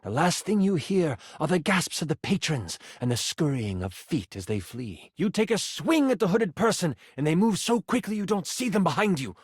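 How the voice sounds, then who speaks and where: A man playing a friendly, eager game master character in a video game, with expressive, theatrical storytelling delivery. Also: on the high side, normal speed, few pauses, husky, no echo, studio quality. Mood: dramatic storytelling. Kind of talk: theatrical tabletop game narration.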